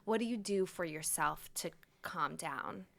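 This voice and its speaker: A woman's voice: fairly low pitch, unhurried, creaky. A woman hosting a casual interview, talking in an effusive, informal, chatty way. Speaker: interviewer, host.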